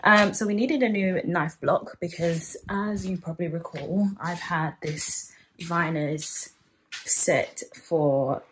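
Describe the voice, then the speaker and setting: A woman's voice: lowish voice, fairly even intonation, slow, slight background noise. A woman, chatting casually in a home vlog, filmed on a handheld phone in her kitchen. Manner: chatty, many ums and likes. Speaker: home vlogger. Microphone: phone held at arm's length.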